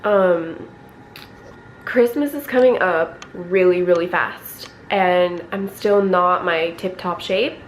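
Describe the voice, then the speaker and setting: A young woman vlogging at home with a raspy voice from a lingering cold, rambling casually and clearing her throat often. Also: fairly low pitch, takes it slowly, a little hiss or hum, rough recording. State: recovering from a cold, coughing. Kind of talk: casual vlog chat. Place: at home, indoors.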